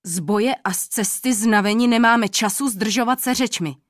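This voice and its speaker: A woman voicing a proud, stern male king who is quick to anger, in a storybook reading with a dry, close sound. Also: on the high side, no room sound, natural voice. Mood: angry. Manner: commanding, loud outbursts.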